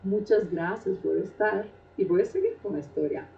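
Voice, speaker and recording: A middle-aged Brazilian woman, a Portuguese native speaker with a Brazilian Portuguese accent, telling her life story in an unhurried, reflective way with frequent hesitations. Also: mid-range voice, gravelly, warm tone, slight background noise. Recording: roomy, distant, dull sound.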